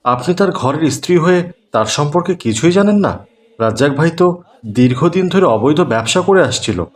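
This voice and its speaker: A man's voice: on the high side, expressive, normal speed, natural voice. A man voicing an upright, moralising father in a cartoon. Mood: grave, accusing. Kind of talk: Bangla cartoon dubbing.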